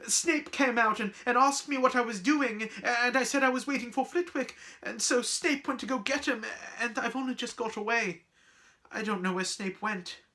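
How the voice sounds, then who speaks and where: A reader putting on a high, fretful schoolgirl. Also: huge pitch swings, very slow, hardly any pauses, heavily acted. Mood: wailing. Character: anxious, bossy young schoolgirl.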